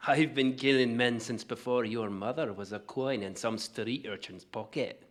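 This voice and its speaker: A man's voice: mid-range voice, unhurried, natural voice. Stern, curt old warrior played by a man. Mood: indignant, scornful. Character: gruff, stern, battle-hardened older male warrior leader. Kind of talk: fantasy audio drama acting.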